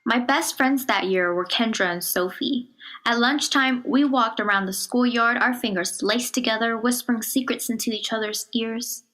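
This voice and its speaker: A woman with a fairly high voice reads a children's picture book aloud. Her storytelling is measured and expressive, with some phrases softened toward a hush. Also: poor audio. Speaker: storybook reader.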